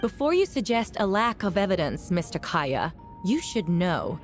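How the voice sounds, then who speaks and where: A woman playing a composed, firm female knight prosecutor in a video game, speaking formally and deliberately. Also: on the low side, very animated, faint music behind. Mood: firm, resolute.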